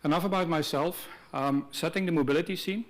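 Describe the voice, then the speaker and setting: A middle-aged man from Belgium, not a native English speaker, giving a relaxed conversational presentation on a conference stage with frequent ums. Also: fairly high pitch, brisk.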